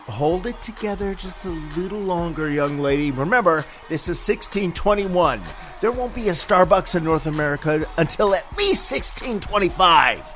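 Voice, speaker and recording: A man's voice: sits high, animated, moderate pace, few pauses, fairly bright tone. An actor playing a pushy, patronizing male producer in a comedy sketch. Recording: faint music behind.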